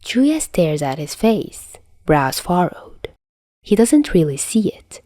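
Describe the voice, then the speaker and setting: A woman's voice: lowish voice, sing-song, slow, warm tone, dry recording, clean studio sound. A woman narrating fan fiction as an amateur audio story reader. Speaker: podfic narrator.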